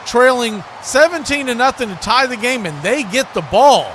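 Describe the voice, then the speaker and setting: A man's voice: very high voice, animated, moderate pace, fairly bright tone, a little hiss or hum. A man giving live play-by-play commentary on a football game.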